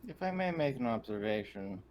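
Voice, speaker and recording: A man voicing a formal, logical synthetic human advisor in a tabletop sci-fi game, with measured wording. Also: medium pitch, very animated, highs cut off, a little hiss or hum, lightly acted. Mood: formal, advisory.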